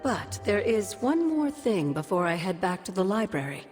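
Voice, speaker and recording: A woman playing the ghost of an old witch mentor in a video game, doting, cryptic and teasing, in an unhurried way. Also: mid-range voice, very animated, lightly acted. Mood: fond, teasing. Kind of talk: video game cutscene acting. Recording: music behind the voice.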